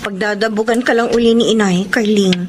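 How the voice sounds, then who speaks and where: A woman's voice: mid-range voice, fairly even intonation, fairly quick, close to an everyday voice. An actress playing a devoted, dutiful but determined young woman in a Filipino radio drama. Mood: worried, pleading. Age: young adult. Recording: faint background noise.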